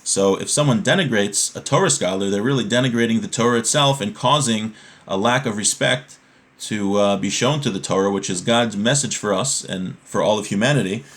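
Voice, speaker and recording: A man's voice: lowish voice, fairly quick, a bit bright. A man teaching religious law in a didactic way, reading out phrases from a text and then translating and explaining them. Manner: didactic, often checking with "right?".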